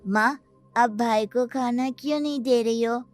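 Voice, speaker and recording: ऊँची आवाज़ वाला भोला छोटा लड़का महिला के अभिनय में. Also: female voice, on the high side, unhurried, soft background music, voice pushed up, lightly acted. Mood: earnest defiance. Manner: earnest, childlike. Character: sweet, loyal little boy in a cartoon story.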